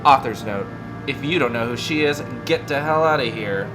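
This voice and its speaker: A man voicing a petulant, excitable teenage girl fan-fiction author, whiny, demanding and emphatic, in a comedic reading. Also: medium pitch, normal speed, close to an everyday voice, rough recording. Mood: petulant, indignant. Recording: loud music behind the voice.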